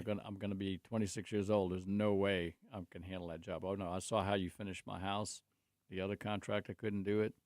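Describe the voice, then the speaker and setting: A man's voice: low-pitched, darkish tone, dry recording, studio quality. A man, a guest on a talk show, telling rambling stories about his life and faith with many restarts. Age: 60s. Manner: speaks openly about faith. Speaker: guest, construction company owner. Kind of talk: casual talk show conversation.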